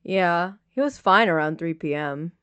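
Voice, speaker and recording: A woman's voice: on the low side, animated, measured pace, fairly bright tone, smooth, dry recording. A woman reading the part of a worried, protective young man in a story, casual and plain-spoken. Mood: uneasy.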